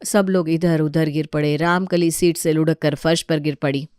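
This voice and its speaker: A woman's voice: deep voice, fairly even intonation, moderate pace, a little mellow, clean tone, no room sound, clean studio sound. A woman reading scripted narration of a comic family story as its narrator.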